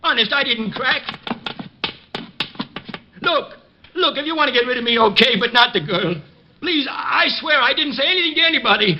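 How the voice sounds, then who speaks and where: An actor playing a frightened, pleading older ex-convict informant in a 1950s radio drama. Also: male voice, very high-pitched, lively intonation, normal speed, long pauses, a little hiss or hum. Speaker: old informant. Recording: dull old radio transcription.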